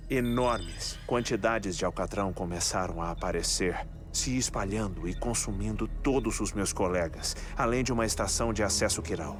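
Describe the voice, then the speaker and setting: A man with a Brazilian accent acting a grieving, eccentric scholarly scientist in a dubbed video game, telling things in a measured, reflective way. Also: lowish voice, close to an everyday voice. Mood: grave, explanatory.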